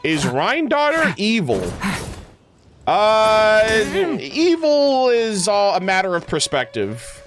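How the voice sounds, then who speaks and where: A man livestreaming, chatting casually with his viewers in a halting, thinking-aloud way. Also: sits high, huge pitch swings. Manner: casual swearing. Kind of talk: casual chat with stream viewers. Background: music.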